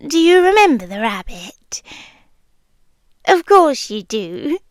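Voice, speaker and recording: Woman as a slow-speaking, possessive British monster queen. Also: high voice, sing-song, no room sound, clean studio sound, heavily acted. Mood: wistful, longing. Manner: slow, hushed, teasing, often dropping into whispers. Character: possessive immortal monster queen, amused and coaxing, wanting a human husband. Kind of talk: intimate ASMR roleplay.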